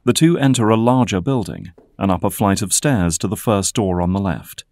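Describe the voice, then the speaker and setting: A man's voice: lowish voice, moderate pace, a little mellow, no echo. A man narrating a scripted audio drama as its storyteller.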